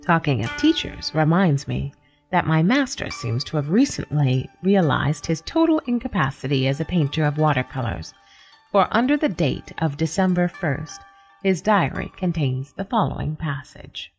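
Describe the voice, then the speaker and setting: A woman's voice: sits low, sing-song, normal speed, soft background music. A woman playing a genteel, sly cat who narrates a radio story with polished, wry formality. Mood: wry, reflective.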